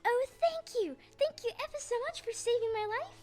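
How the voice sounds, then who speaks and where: A woman's voice: extremely high pitch, normal speed, darkish tone. A woman playing a tiny, proud and grateful queen of field mice in a stage audio play, speaking formally and effusively. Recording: live stage recording with music.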